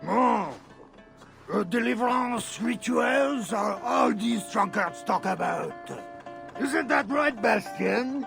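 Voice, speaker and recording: Very creaky, high-pitched tavern keeper. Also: male voice, lively intonation, slow, over music, full character voice. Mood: scornful, jeering. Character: loud, mocking tavern keeper. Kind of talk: video game dialogue acting.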